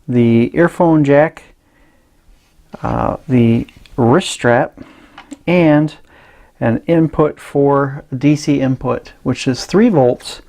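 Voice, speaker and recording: A man presenting a casual, unscripted gadget review in a halting, rambling way. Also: medium pitch, a little mellow. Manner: hesitant, halting, rambling delivery.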